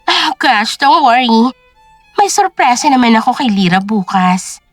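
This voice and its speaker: Casting: spoiled haughty sister-in-law done by a woman, disdainful, affected, high and swooping, audio drama. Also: big pitch swings, a little slow, no room sound, soft background music. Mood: sly, smug.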